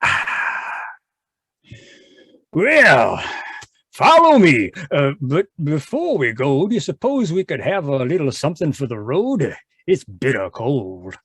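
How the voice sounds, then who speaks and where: Man as boozy folksy moocher, radio comedy-mystery. Husky, wheedling, big pitch swings. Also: wildly swooping pitch, takes it slowly, fairly bright tone, dry recording, heavily acted. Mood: folksy, eager. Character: thirsty old-time drunkard in pilgrim costume, genial. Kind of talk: old-time radio drama acting.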